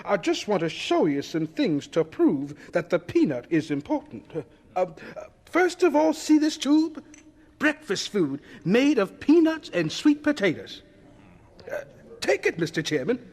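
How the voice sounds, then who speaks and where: An actor playing an elderly, humble Southern scientist in an audio drama, with a hesitant, courteous and earnest manner. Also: male voice, high voice, big pitch swings, measured pace, a little mellow, full character voice. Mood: fumbling, courteous.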